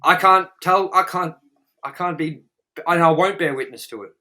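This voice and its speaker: A man's voice: on the high side, normal speed, pushed and bright. A man chatting casually as co-host of a film review show, blunt and sweary, repeating words for emphasis.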